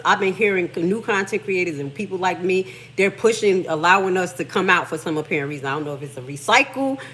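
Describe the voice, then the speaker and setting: A woman's voice: fairly low pitch, fairly bright tone, slight background noise. A middle-aged Haitian American woman hosting a livestream, talking casually and emphatically. She reads text aloud, comments on it, and swears freely. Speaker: livestream host.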